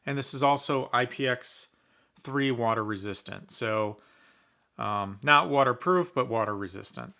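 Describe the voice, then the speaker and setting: A man with a bright, forward voice and a medium pitch talks through a product review. His delivery is casual and conversational, like an informal unboxing commentary. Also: unhurried, creaky. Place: indoors in a basement.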